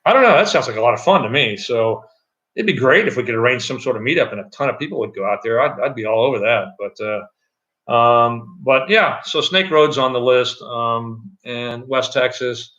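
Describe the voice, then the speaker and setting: A middle-aged man with a loud voice, chatting loosely on a live stream from an echoey room at home, with many fillers and restarts. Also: medium pitch, animated, moderate pace, muffled recording. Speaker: channel host. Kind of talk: casual live-stream chat.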